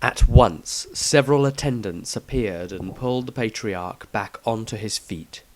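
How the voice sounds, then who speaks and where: A man's voice: fairly low pitch, unhurried, slight background noise. A man reading his own fantasy story as a scripted, dramatic audiobook narration.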